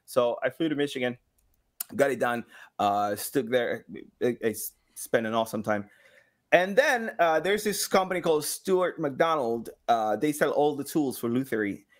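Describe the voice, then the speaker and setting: A man in his thirties chatting casually as a podcast guest, telling anecdotes in an expressive, joking way. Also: mid-range voice, normal speed. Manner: joking, expressive, hands-on storyteller. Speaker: podcast guest, game sound designer.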